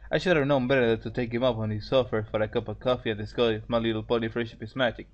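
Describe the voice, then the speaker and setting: A man's voice: medium pitch, fairly even intonation, racing, highs cut off. A man narrating fan fiction in an amateur, casual way, often stumbling over phrases and repeating them. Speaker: story narrator, co-host.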